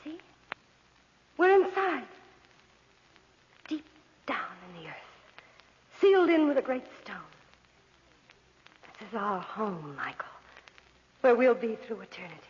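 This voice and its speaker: An actress playing an ancient, possessive undead spirit woman in a 1940s radio drama, speaking slowly and commandingly with ominous emphasis. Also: a little high, sing-song. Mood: gloating, menacing. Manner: slow, ominous, commanding delivery with drawn-out emphasis. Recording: muffled old radio recording, long hall reverb.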